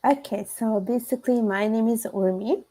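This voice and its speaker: A woman's voice: a little high, normal speed, mellow. A woman from Italy with a strong Italian accent, speaking earnestly as a podcast guest, with frequent fillers. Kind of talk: interview conversation.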